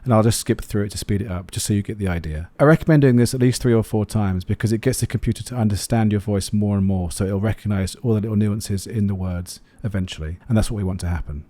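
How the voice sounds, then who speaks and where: Male review narrator for video, relaxed warm tone, close dry recording. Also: lowish voice, fast talker, hardly any pauses, no echo. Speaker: flight sim video host, reviewer. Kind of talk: scripted product review narration.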